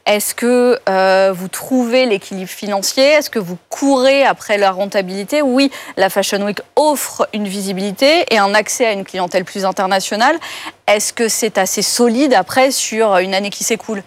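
Intervieweuse, voix moyenne, questions directes et relances brèves. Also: female voice, mid-range voice, normal speed. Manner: brief backchannels, probing questions.

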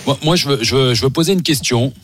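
A man's voice: medium pitch, quick pace, a little hiss or hum. A man arguing insistently as a pundit in a sports radio debate, repeating himself to hold the floor. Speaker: football pundit, former international player.

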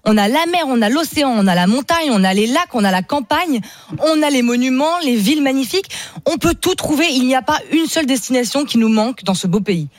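A woman's voice: high-pitched, animated, fairly quick, no echo. A woman from Savoie, a radio panelist, talking eagerly with many hesitant fillers as she defends her opinion. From France, Savoie. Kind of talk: lively radio debate.

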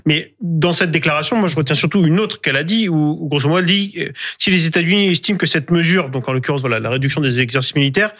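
Fast-talking male analyst. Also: on the high side, fairly bright tone. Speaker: panel guest, analyst. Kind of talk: TV panel debate.

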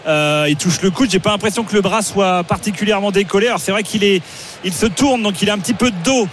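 A man's voice: sits high, fast, a little hiss or hum. A man calling a football match live on the radio, chatty and weighing each call with fillers. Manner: chatty, hedging opinions with fillers. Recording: radio broadcast with music behind.

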